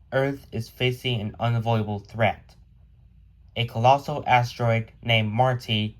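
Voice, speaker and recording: A man's voice: mid-range voice, natural voice. A man playing a grave broadcast announcer, delivering a scripted doomsday message in a solemn, formal manner. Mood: grave, urgent. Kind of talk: scripted emergency broadcast narration.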